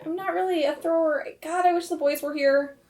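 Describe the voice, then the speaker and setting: A woman with a fairly high voice takes part in a tabletop roleplaying game. She casually describes her character's actions and slips into improvised in-character talk. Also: steady tone, brisk, a little mellow.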